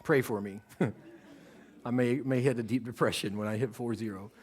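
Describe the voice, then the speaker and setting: A man, preaching a sermon on a church stage in a relaxed, joking, conversational way. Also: medium pitch, normal speed, slightly rough. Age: 30s. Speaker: pastor.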